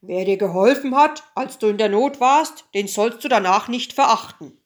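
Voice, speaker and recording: A woman's voice: fairly high pitch, big pitch swings, slow. Storybook king, voiced by a woman: authoritative father, stern, commanding delivery. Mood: angry. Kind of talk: fairy tale storytelling.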